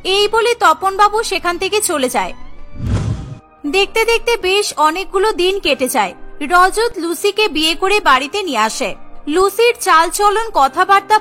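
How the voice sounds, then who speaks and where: Very high, bright woman narrator. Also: expressive, measured pace, soft background music. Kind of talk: scripted story narration for cartoon.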